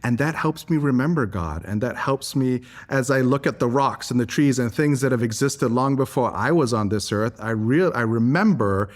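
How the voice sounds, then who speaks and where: A man's voice: mid-range voice, moderate pace, few pauses. A man from Singapore giving earnest, articulate, reflective answers as an interview guest. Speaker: interview guest, environmental advocate.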